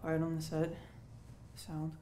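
An actress playing a put-upon assistant director on a film set, calling out commands in a comedy. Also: female voice, low-pitched, sing-song, a little fast, fairly bright tone, a little hiss or hum, heavily acted. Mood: timid.

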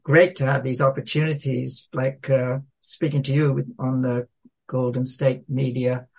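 A man's voice: medium pitch, little pitch movement, unhurried, vocal fry, husky. An older man from South Wales with a British accent, talking politely and a little hesitantly as an author interviewed on a podcast. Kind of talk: unhurried interview talk.

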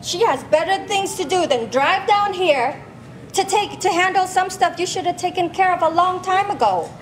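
A very high-pitched actress playing an older mother scolds and brushes things off bluntly. She sounds exasperated, in a live staged drama with faint background noise. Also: animated, moderate pace. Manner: blunt, dismissive. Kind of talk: staged play reading. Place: large glass-walled public hall, on stage.

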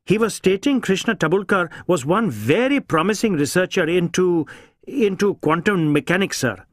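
Man acting a flustered, high-pitched Indian police inspector. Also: animated, dry recording, clean studio sound. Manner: halting, stammering, repeatedly saying sir. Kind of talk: audiobook character voice.

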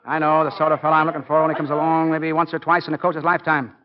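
Guy acting a bossy wry american football coach, highish voice, dull old 1940 radio sound. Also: little pitch movement, a crowd in the background, lightly acted. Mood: wistful. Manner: brisk, wry, barking orders. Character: male college football coach, brisk, wry, commanding. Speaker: actor in a radio drama.

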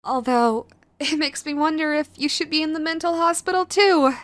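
A woman playing a sweet, clingy yandere girlfriend in an audio roleplay, nervous and halting, gushing and apologetic as she tries to reform. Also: sits high, a bit bright, dry recording. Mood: remorseful, nervous. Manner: halting, gushing, apologetic, swinging between eager and sheepish. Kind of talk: romantic audio roleplay, acted to the listener.

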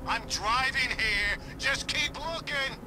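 An actor playing a panicky, bickering getaway-driver thug in a video game, with rough street talk. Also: male voice, extremely high pitch, takes it slowly, background noise. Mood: nervous, snappy. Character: panicky, bickering male henchman driving getaway vehicle. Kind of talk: video game enemy chatter.

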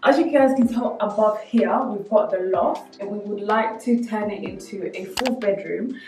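A woman's voice: medium pitch, a little mellow. Echoey, chatty young woman vlogger. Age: young adult. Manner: chatty, addressing viewers directly. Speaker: vlogger giving a house tour. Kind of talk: casual vlog walkthrough. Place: empty rooms of a small house, indoors. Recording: echoey empty rooms, distant mic, music.

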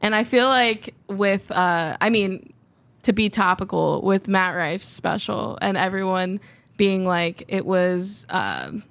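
Young woman with a creaky voice. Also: medium pitch, expressive, fairly bright tone, dry recording. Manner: chatty, quick laughs, many "like" and "um". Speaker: podcast host. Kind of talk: casual podcast chat. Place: small studio with textured walls. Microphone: desk mic on stand, headphones.

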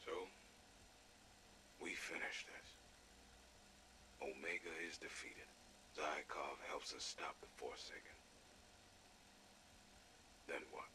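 Extremely deep, haunted army major. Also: male voice, steady tone, slow, pauses often. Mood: probing. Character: grim, haunted, battle-worn army major. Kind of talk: dramatic game voice acting. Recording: clear background noise.

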